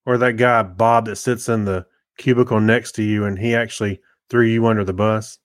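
A man's voice: fairly low pitch, fairly even intonation, normal speed, no room sound, clean studio sound. A middle-aged man chatting casually as a podcast co-host. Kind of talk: casual chat.

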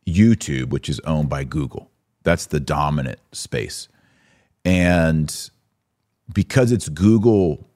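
A man hosting a live-stream podcast, giving casual, conversational commentary in halting phrases with repeats and rhetorical questions. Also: very deep voice, darkish tone.

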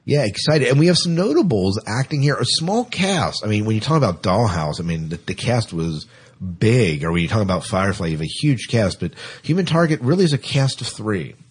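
A man hosting a casual podcast, chatting in a halting way and repeating words as he thinks. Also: on the low side, slightly rough. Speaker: podcast host; teacher. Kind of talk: casual co-hosted podcast chat.

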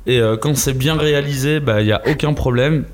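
A man's voice: medium pitch, fairly quick, a little hiss or hum. A man reviewing manga in a casual, opinionated group discussion, speaking emphatically and slangily, often repeating words as he searches for the next.

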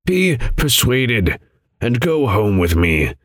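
Man voicing a stern, high-pitched old raven. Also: big pitch swings, unhurried, fairly bright tone, dry recording, clean studio sound, exaggerated voice. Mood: gently persuasive. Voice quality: croaking. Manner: solemn, admonishing, archaic diction. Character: stern old raven guide, grave male riddling mentor. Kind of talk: audiobook character voice.

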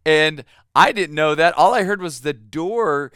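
A man's voice: fairly high pitch, expressive, unhurried, fairly bright tone, clean tone, no echo, studio quality. A man, a pastor, preaching a sermon in a conversational way, telling a family story with fillers and repeated words.

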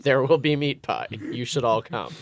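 A man's voice: mid-range voice, moderate pace, no echo. A man chatting casually as a podcast host.

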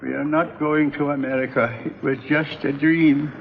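High-voiced, plaintive old refugee man. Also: little pitch movement, normal speed, creaky. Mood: crushed. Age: older. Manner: earnest, formal, plaintive. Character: sick, humble, hopeful old male refugee. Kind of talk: 1950s television drama acting. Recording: dull, noisy vintage broadcast soundtrack.

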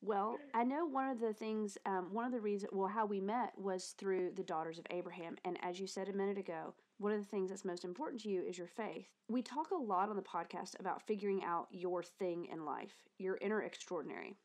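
A woman's voice: mid-range voice, a little fast, hardly any pauses, a little mellow. A woman hosting a podcast interview, speaking in an upbeat, encouraging way. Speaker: podcast host. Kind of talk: warm podcast interview and introduction.

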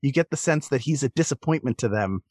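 A man with a mid-pitched voice talks as a podcast guest in a dry, close recording. He speaks casually and analytically, earnest and emphatic as he makes his case. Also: lively intonation, quick pace, darkish tone, husky, no echo.

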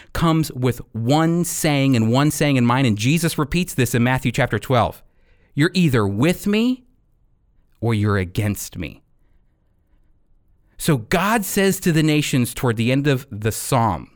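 A man hosting a radio program and teaching the Bible in an emphatic, deliberate way. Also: mid-range voice.

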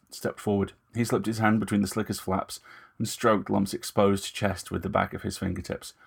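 A man's voice: on the low side, creaky, slightly rough. A man reading scripted dramatic fiction as narrator.

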